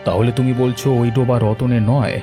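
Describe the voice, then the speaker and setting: A man's voice: mid-range voice, fairly even intonation, brisk, lightly acted. A man playing a stern, authoritative village headman in a cartoon, speaking formally. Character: stern, measured male village headman and judge. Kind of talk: dubbed cartoon dialogue. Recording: music bed behind the voice.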